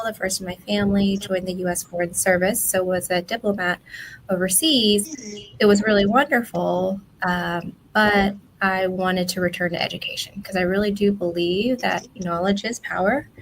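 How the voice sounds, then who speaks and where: A woman's voice: medium pitch, rough recording. A woman with an American accent telling her story conversationally as an interview guest on a remote call. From born in Vietnam, grew up in USA from infancy. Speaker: education company leader, interview guest. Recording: faint background noise, remote call.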